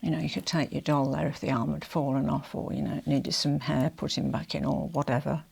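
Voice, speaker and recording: An older woman with a croaky, hoarse throat, chatting casually to camera as a fashion vlogger, rambling with confiding asides. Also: sits low, little pitch movement, fairly quick, a little mellow. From England.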